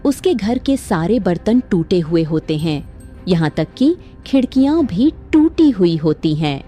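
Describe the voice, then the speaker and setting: A woman narrates a cartoon moral tale in scripted, dramatic storytelling style. Her voice is mellow and on the low side, with soft music behind it. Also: very animated, measured pace.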